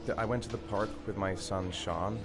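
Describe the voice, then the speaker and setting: A man's voice: fairly low pitch, fairly even intonation, moderate pace, close to an everyday voice. An actor playing a distraught, hesitant father in a video game drama, stammering and unsure of himself. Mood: shaken, uncertain. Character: distraught, hesitant father of a missing young son. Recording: game music behind dialogue.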